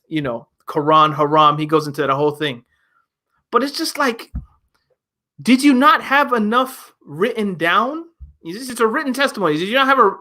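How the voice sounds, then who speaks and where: A young man with a fairly high voice reviews an album in casual chat. He argues his points emphatically and fires off rhetorical questions. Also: pushed and bright. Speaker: music review host.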